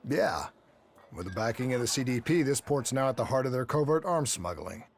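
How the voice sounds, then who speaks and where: An actor playing a dry, confident soldier in a video game cutscene. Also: male voice, mid-range voice, sing-song, brisk, creaky, a little hiss or hum. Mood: confident briefing.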